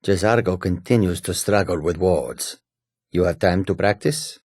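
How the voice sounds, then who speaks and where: Deep, husky, self-assured catfolk adventurer. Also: male voice, moderate pace, a little mellow, dry recording, clean studio sound. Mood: proud, confident. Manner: self-assured, formal, speaks of himself in third person. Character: proud, courteous male catfolk mage and warrior. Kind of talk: video game character dialogue.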